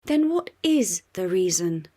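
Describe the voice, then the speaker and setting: A woman voicing a stubborn, excitable young girl cartoon puppy in an emphatic, indignant, playful way for a children's storybook. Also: lowish voice, slow pace, heavily acted. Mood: defensive.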